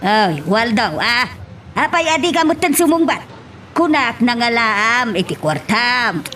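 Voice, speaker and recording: Woman playing a hesitant older Ilocano mother, high-voiced. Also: sing-song, unhurried, pushed and bright, a little hiss or hum, exaggerated voice. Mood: questioning. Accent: Ilocano Filipino. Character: fond, plain-living elderly village mother-in-law. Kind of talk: amateur audio drama acting.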